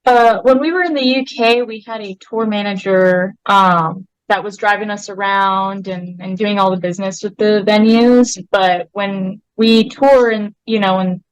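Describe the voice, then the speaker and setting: A woman's voice: mid-range voice, fairly even intonation, measured pace, hardly any pauses, smooth, dry recording, low-quality recording. Laid-back woman musician, dry sound. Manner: laid-back, laughing often. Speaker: band member guest. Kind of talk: casual interview chat.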